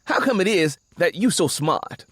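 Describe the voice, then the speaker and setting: A man voicing a puzzled, pestering, slow-witted working man in folksy rural dialect for an audiobook. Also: sits high, lively intonation, fairly bright tone, no echo, voice pushed up. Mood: puzzled, insistent. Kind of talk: dramatised audiobook dialogue.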